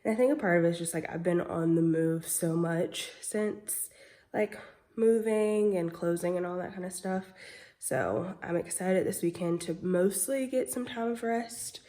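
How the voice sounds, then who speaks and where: A woman's voice: lowish voice, measured pace, warm tone. A young woman vlogging casually, chatty with lots of "um" and "like", sounding congested and nasal from a cold. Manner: chatty, many fillers like "um" and "like". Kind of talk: casual vlog chat.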